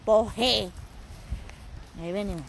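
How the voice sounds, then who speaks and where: A woman's voice: medium pitch, slow pace, gravelly, background noise. A woman in her forties with a Mexican accent, chatting playfully to viewers on a live phone stream from a seaside walk. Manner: playful, jokey, talking to viewers. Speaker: vlogger. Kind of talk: live-streamed casual chat. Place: windy seaside outdoors. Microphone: phone at arm's length.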